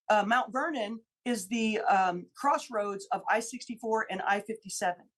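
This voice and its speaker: A woman who is a state senator, arguing her case emphatically on a radio interview and repeating key numbers for stress. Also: on the high side. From Illinois. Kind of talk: radio interview, political argument.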